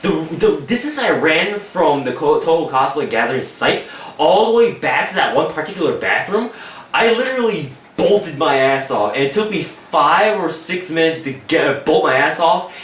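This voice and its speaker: A man's voice: on the high side, big pitch swings, normal speed, a bit bright, recorded from a distance, a little hiss or hum. A young man casually recounting a convention day, rambling with many fillers and swearing. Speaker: vlog co-host recounting a convention. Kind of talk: casual chat. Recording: roomy, from off mic, faint background.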